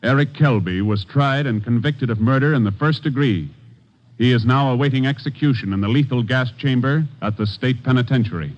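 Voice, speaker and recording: A man's voice: medium pitch, fairly quick. An American man announcing formally from a script in 1940s radio style. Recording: muffled old radio with loud music.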